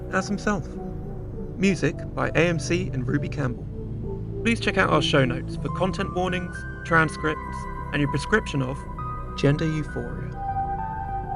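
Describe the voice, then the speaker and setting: A man's voice: on the high side, vocal fry. A man reading scripted end credits as a podcast announcer. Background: prominent music.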